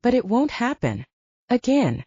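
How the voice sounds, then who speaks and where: Woman voicing a guarded, husky werewolf man. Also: a little high, sing-song, a little slow, warm tone, dry recording, highs cut off, pitched above the performer's own voice, full character voice. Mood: restrained. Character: male werewolf scientist, guarded, reluctant romantic hero.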